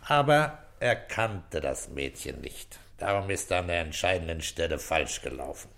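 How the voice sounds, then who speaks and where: A shrewd senior inspector speaks in a low voice, laying out his reasoning with deliberate authority. He sounds weary and paternal, in the manner of an old radio crime play. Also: expressive, gravelly, a bit bright, heavily acted. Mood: dry, matter-of-fact. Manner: deliberate, authoritative, explaining step by step. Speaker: police inspector. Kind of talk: old German radio crime drama acting.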